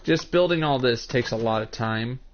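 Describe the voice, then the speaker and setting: Twenty-something guy streaming a game, walks chat through each step, mid-pitched, kinda muffled cheap mic. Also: moderate pace, a little hiss or hum. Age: 20s. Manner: explains step by step, addressing chat viewers by name. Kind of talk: casual gameplay commentary.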